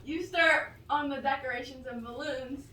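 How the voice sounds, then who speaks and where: A woman's voice: sits high, normal speed, bright, forceful tone. A woman voicing an eager, organizing puppet friend in an amateur puppet show. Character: Lily, organizing puppet friend planning a party. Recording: roomy, noisy, distant microphone.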